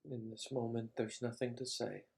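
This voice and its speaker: A man leading a guided meditation as a teacher, speaking calmly in short, measured phrases with long pauses. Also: medium pitch, gravelly.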